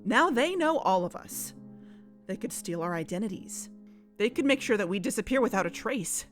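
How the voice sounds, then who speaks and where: A woman acting as a determined, wary young woman in an audio drama. She speaks earnestly and confrontationally at a medium pitch, in natural conversational style, with faint music behind her. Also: sing-song, moderate pace, close to an everyday voice. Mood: firm, accusing.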